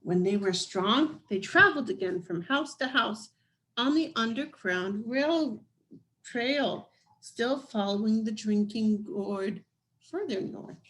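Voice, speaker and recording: Woman, higher-pitched voice, very unhurried, children's storybook narration, measured phrasing, dramatic pauses. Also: a bit bright, low-quality recording. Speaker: storybook reader. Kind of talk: scripted narration, children's picture book read aloud.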